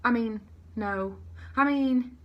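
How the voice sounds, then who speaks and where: A woman's voice: a little high, lo-fi sound. A woman voicing a shy male pet shop clerk in a storybook, hesitant and mumbling. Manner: mumbling, halting, evasive.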